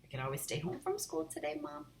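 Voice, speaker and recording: A woman's voice: mid-range voice, wildly swooping pitch, fast, gravelly, slight background noise, pitched above the performer's own voice. A woman voicing a tired young boy who pleads and mumbles, in a roomy echo. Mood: plaintive.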